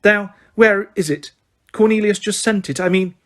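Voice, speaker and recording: A man's voice: high voice, moderate pace, a bit bright, pitched above the performer's own voice. A man voicing a simpering female official with a sickly sweet, fussy way of talking.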